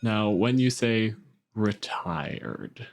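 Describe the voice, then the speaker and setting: A man's voice: fairly low pitch, steady tone, extremely slow. A man running a tabletop roleplaying game as game master, chatting casually with the players.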